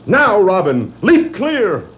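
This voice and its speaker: Earnest, animated masked crime fighter. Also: male voice, high-pitched, takes it slowly, heavily acted. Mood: urgent. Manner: earnest, declarative heroic delivery. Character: steady, resourceful adult male masked crime fighter. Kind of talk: 1960s radio-play superhero acting. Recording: muffled, noisy 1960s vinyl record.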